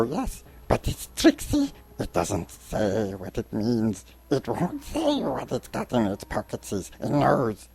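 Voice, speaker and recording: Paranoid cave creature, raspy hiss, slow drawn-out muttering, cursing and whining, broken grammar, storybook drama. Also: male voice, mid-range voice, darkish tone, voice pushed up, exaggerated voice. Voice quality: hissing, raspy, creaky. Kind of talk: dramatic audiobook reading.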